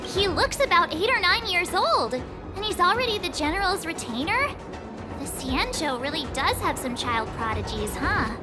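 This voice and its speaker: A woman voicing a sweet, cheerful young girl who loves cute animals, expressive and playful, in a video game. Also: very high voice, normal speed, music in the background. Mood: curious, thoughtful. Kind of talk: video game character voice acting.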